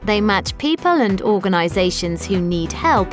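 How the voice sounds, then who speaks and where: A woman reads scripted documentary narration for learners in a measured, clearly enunciated way. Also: medium pitch, big pitch swings. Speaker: narrator of an educational video report. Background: background music.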